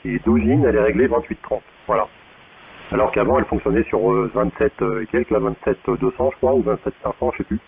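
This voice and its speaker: A man's voice: lowish voice, fairly even intonation, quick pace, gravelly. A man explaining things in casual technical chat as an amateur radio operator over a two-way radio. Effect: two-way radio transmission. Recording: thin phone-like radio sound, faint hiss.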